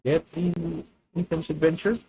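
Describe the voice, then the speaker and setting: A young man with a Filipino accent plays a card game live and talks through every move as he makes it. He often stops to correct himself and sometimes turns to speak to his viewers. His voice sits fairly high for a man. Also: steady tone, measured pace, warm tone, husky, poor audio. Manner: thinking aloud, self-correcting, addresses viewers. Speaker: streamer. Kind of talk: live gameplay commentary.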